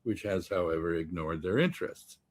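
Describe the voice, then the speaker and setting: A man speaking as an expert guest in an interview, halting and deliberate. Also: deep voice, animated, breathy edge. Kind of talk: interview commentary.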